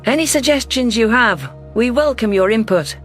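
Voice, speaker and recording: A man's voice: extremely high pitch, animated, normal speed, a bit bright, soft background music. A man voicing an emotional female alien governor, narrating a science fiction audiobook in an earnest, formal, dramatic way. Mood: earnest, grateful.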